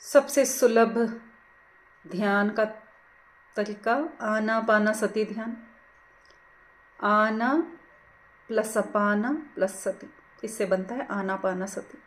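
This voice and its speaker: A woman leading a guided meditation, giving soothing, gentle, unhurried instructions. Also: medium pitch, steady tone. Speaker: meditation teacher.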